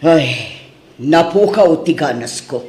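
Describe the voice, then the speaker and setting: Blunt, snobbish Ilocano matriarch for radio drama; drawn-out delivery, roomy echo. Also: female voice, sits low, animated, darkish tone. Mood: cold, disdainful. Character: blunt, disapproving, snobbish mother of grown son. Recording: echoey room.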